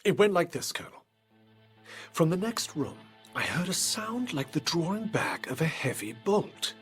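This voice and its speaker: An actor playing an assured gentleman detective in a period audio drama, speaking with precise, dramatic authority. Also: on the high side, sing-song, normal speed, soft background music. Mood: intrigued, dramatic.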